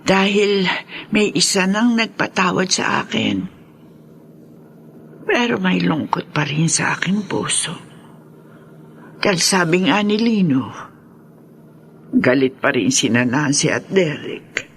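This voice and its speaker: A woman's voice: fairly low pitch, takes it slowly, creaky, pauses often, fairly bright tone, slight background noise, exaggerated voice. An actress playing a remorseful, weary older mother-in-law in a radio drama, pleading and emotional. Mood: subdued, sad. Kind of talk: Tagalog radio drama acting.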